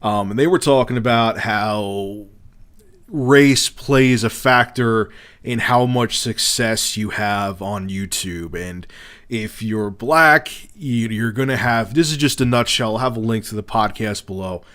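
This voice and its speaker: A man's voice: on the low side, a little slow, a bit bright. A man ranting on his own channel in a heated, profane way, often restarting his sentences. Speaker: YouTuber. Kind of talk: opinion vlog rant.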